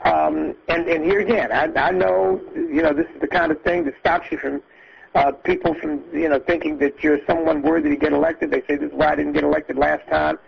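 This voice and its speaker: Male political speaker, very gravelly and raspy, earnest and emphatic, halting delivery, muffled conference-line sound. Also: a little high. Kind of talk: impassioned political speech on a conference call. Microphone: phone conference line. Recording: muffled lo-fi conference call audio.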